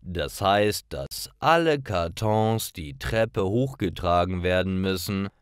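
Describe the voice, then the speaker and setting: Very deep, slow male narrator. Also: lively intonation, clear, resonant tone, dry recording, studio quality. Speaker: narrator of stories for language learners. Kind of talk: scripted narration, slow read-aloud learner stories.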